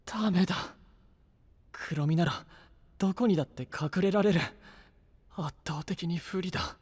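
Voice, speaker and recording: An actor playing a brash, rough-talking young knight and younger brother in an anime-style voice drama. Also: male voice, high-pitched, a little slow, darkish tone, big-room sound. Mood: frantic, frustrated. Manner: blunt, rough masculine speech.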